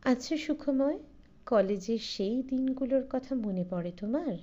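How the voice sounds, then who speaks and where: A woman's voice: sits high, lively intonation, a little slow, warm tone, dull audio. A woman reading a restrained, wistful middle-aged mother.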